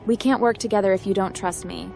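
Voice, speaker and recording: A woman's voice: mid-range voice, fairly even intonation, fast, gravelly, over music, close to an everyday voice. A woman voicing a confident, wry female hacker adventurer in scripted video game roleplay, with a cool, direct delivery. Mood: pressing.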